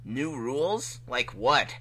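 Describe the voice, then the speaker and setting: A man's voice: mid-range voice, lively intonation, slow pace, a bit bright, husky, full character voice. A man voicing a cocky, indignant young hedgehog hero in a fan-fiction reading, casual and complaining. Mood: uneasy.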